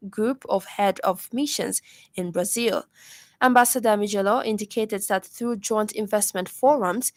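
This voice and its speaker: A woman's voice: medium pitch, no room sound. A woman with a Zambian accent reading a scripted radio news bulletin in a formal manner. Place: dry radio studio.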